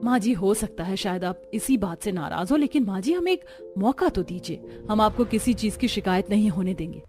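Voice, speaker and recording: A woman's voice: medium pitch, animated, a little fast, warm tone, faint music behind, lightly acted. A woman playing a meek, respectful young village daughter-in-law in a cartoon family drama, speaking politely and pleadingly.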